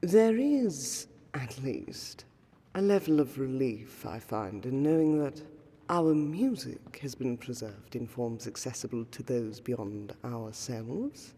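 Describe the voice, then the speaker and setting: A woman's voice: extremely low pitch, animated, takes it slowly, warm tone, slightly rough, heavily acted. A woman playing a refined, ancient vampire lady in a fantasy roleplay audio, speaking formally and courteously in ornate, archaic phrases with a melancholy air. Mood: wistful, restrained sorrow. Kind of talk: fantasy roleplay audio, scripted monologue to the listener. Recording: long cavernous hall reverb.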